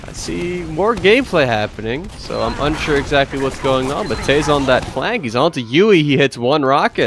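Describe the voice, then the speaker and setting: A man's voice: mid-range voice, a little hiss or hum. A man casting a live esports match, talking through plays in a loose, rambling way with lots of fillers. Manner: relaxed, rambling play-by-play with many fillers. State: voice getting raspy. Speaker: esports caster. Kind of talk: live game commentary.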